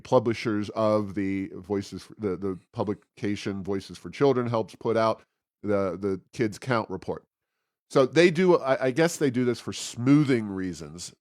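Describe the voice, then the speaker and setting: A man co-hosting a talk show, giving conversational political commentary with wry, sarcastic asides and a halting, self-correcting delivery. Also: lowish voice, a little mellow, dry recording, studio quality. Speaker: co-host of a policy podcast.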